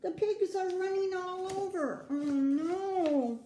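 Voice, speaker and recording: Slow-paced older American woman reading a storybook. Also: very high voice, dark tone. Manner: grandmotherly, prompts young listeners with questions. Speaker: children's story reader. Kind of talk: read-aloud picture book storytelling with asides to children. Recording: roomy, echoing indoor sound.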